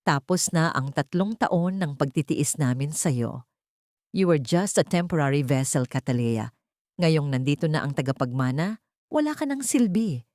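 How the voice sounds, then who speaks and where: A woman's voice: low-pitched, lively intonation, normal speed, a little mellow, dry recording, studio quality. A woman voicing a haughty, threatening older mother-in-law in an audio drama. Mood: cold contempt. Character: cold, menacing older rich mother-in-law matriarch.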